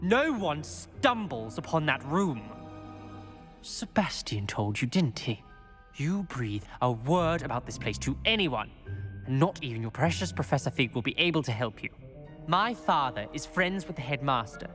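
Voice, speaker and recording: A wary young wizard speaks in curt, suspicious tones. His voice rises and drops sharply as he warns a newcomer off. Soft music sits faintly behind the game cutscene. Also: a little high, sing-song, moderate pace. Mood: threatening. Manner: clipped, suspicious, threatening. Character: cold, guarded teenage wizard schoolboy.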